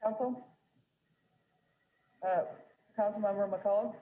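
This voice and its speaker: A woman presiding over a city council meeting, speaking in a measured way with frequent fillers and restarts. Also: mid-range voice, steady tone, warm tone, muffled recording.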